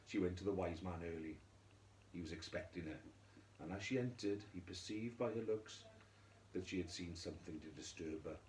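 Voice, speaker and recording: A man telling a children's fairy tale in a theatrical way, moving between hushed and emphatic delivery. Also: lowish voice, roomy sound, slight background noise. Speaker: storyteller.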